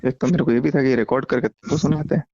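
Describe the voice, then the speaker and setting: A man confiding casually on a recorded phone call, in a hushed, halting way. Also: mid-range voice, fairly even intonation.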